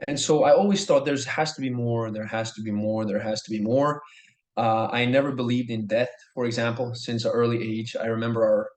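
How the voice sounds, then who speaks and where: A man in his thirties from Norway, telling his story as a podcast guest in an earnest, enthusiastic way, often repeating himself. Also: mid-range voice. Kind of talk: casual storytelling. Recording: echoey room.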